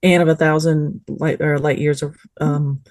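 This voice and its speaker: A woman's voice: sits low, flat delivery, normal speed, a little mellow, no room sound. A woman on a podcast panel, chatting casually and effusively, with thoughts tumbling over one another. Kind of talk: casual review chat.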